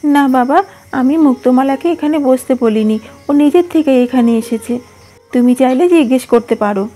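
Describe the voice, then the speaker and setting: A woman voicing a kind, patient young girl who pleads softly and sweetly. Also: high voice, moderate pace, voice pushed up. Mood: pleading.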